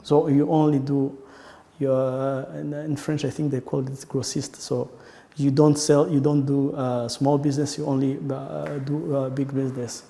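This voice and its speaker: A man with a Rwandan accent recalling his family history in an interview, speaking haltingly and often restarting his sentences. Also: medium pitch, flat delivery, vocal fry, warm tone, big-room sound. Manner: halting, searching for words with frequent restarts. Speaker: entrepreneur. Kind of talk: personal recollection in an interview.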